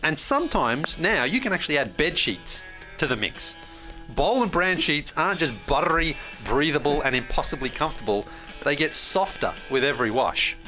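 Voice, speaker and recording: Male podcast co-host, middle-aged, lively swinging intonation and a forward, bright tone, casual delivery, faint music behind. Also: fairly high pitch, normal speed. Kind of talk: casual banter and host-read ads.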